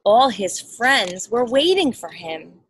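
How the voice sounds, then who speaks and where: Woman doing story time for a kid, slow and really animated, dry outdoor sound. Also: fairly high pitch, husky, dry recording. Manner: expressive, explains and asks simple questions for a child. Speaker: parent reading a picture book aloud. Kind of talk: children's storybook read-aloud. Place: outdoors in a backyard. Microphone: phone or laptop at arm's length.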